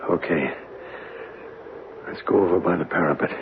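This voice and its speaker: An actor playing a frightened, hesitant crooked accomplice who talks defensively in an old-time radio drama. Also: male voice, sits low, normal speed, natural voice. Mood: wary. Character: wary, frightened male embassy man turned crooked accomplice. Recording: tinny vintage radio, faint noise.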